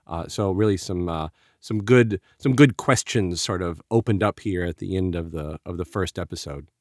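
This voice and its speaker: A man co-hosting a podcast review, talking casually in a measured way and often softening phrases with "sort of". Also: low voice, no echo, studio quality. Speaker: podcast co-host.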